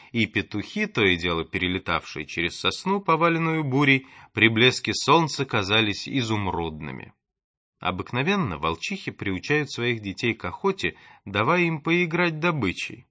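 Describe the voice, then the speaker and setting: Bright-voiced man narrating a storybook, dry sound. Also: medium pitch, sing-song, moderate pace, no room sound. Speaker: audiobook narrator. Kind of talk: expressive scripted storybook narration.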